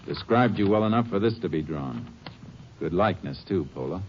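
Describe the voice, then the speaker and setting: An actor playing a firm, clipped Texas Ranger who also narrates a 1950 radio drama. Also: male voice, low-pitched, lively intonation, normal speed, slight background noise. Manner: firm, clipped, matter-of-fact. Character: steady, tough male Texas Ranger. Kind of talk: 1950s radio drama acting. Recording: dull lo-fi 1950 radio transcription.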